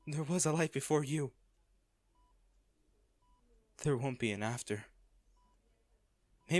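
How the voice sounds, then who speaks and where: A man acting a hardened lover pleading at a comatose partner's bedside in a romantic audio roleplay, halting, intimate and earnest. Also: medium pitch, dry recording. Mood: grieving, pleading.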